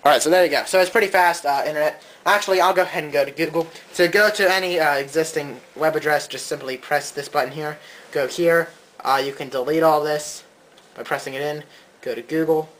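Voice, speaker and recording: A man's voice: on the high side, fairly quick, a bit bright, low-quality recording. A man giving a casual, hands-on gadget review as a co-host.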